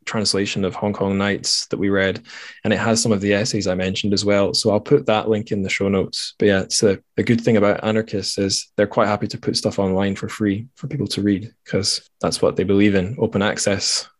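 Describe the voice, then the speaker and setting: A man's voice: on the low side, little pitch movement, a little fast. A man hosting a podcast, chatting casually with wry, self-deprecating, rambling asides. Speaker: podcast host. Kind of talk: casual podcast chat and outro.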